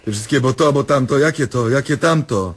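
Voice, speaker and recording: An actor playing a diabolical doctor who toys mockingly with his patient in a theatrical, drawn-out radio drama style. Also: medium pitch, breathy edge, lightly acted. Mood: scolding.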